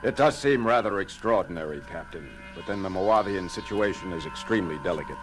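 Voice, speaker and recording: An actor playing a logical, unflappable alien science officer, speaking formally and precisely in an audio drama. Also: male voice, low voice, animated, a little fast. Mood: measured, reserved. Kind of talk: dramatic audio theater acting. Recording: music under the voice.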